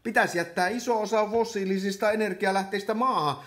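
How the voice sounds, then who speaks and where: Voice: mid-range voice, fairly bright tone, close to an everyday voice. An actor in a comic radio play playing an exasperated meeting chairperson who scolds delegates like a hesitant nursery teacher. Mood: exasperated scolding.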